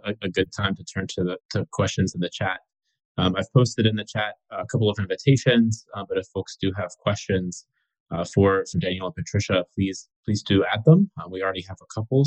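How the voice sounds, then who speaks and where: A man's voice: low-pitched, low-quality recording. A man moderating a webinar, hesitant and full of fillers as he leads into questions. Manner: hesitant, many fillers, reads questions aloud.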